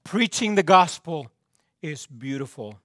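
A male pastor preaching slowly and deliberately, his voice fraying and hoarse from use. He sits a touch higher than most men and repeats his points for weight, letting each line fall away. The sound is dry and close. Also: expressive, sharp tone, no echo. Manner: slow, emphatic, repeats key phrases, drops pitch at line ends. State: losing his voice, clearing throat. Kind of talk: sermon.

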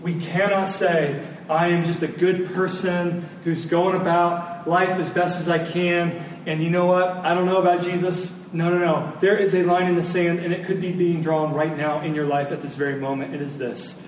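A man's voice: high-pitched, little pitch movement, moderate pace. A man preaching a sermon as a pastor, earnest and emphatic, repeating key phrases. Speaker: pastor preaching to his church. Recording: roomy, distant mic, faint noise.

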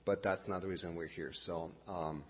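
A man's voice: sits low, fairly even intonation, slightly rough. Middle-aged man, Romanian accent, pastor, hesitant delivery, dull lo-fi sound in a big echoing hall. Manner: halting, many fillers and self-corrections. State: nervous. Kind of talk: informal sermon introduction. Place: church hall.

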